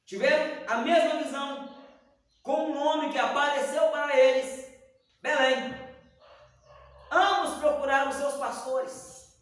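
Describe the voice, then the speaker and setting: A man's voice: very high-pitched, takes it slowly, long pauses. A man teaching a church Bible lesson, telling stories and acting out the dialogue. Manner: animated storyteller acting out dialogue. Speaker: Sunday school teacher. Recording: echoey hall, distant mic.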